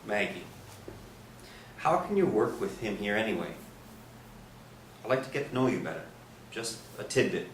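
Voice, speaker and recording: A man's voice: on the low side, very animated, moderate pace, pauses often. An actor playing a nosy, lecherous priest in a staged play reading, coaxing and insinuating as he goes. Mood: pleading. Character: nosy, wheedling, lecherous priest hungry for confessions. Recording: roomy, distant stage recording, faint noise.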